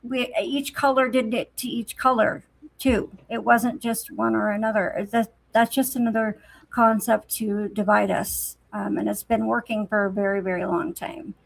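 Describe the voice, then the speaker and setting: Creaky, fairly high-pitched woman, conversational. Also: flat delivery, normal speed, no room sound, poor audio. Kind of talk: casual spiritual conversation.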